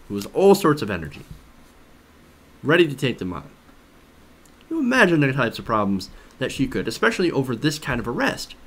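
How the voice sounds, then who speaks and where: A man's voice: fairly high pitch, a bit bright. A man giving conversational news commentary as a host, skeptical and emphatic, often posing rhetorical questions. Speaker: host of a political commentary show.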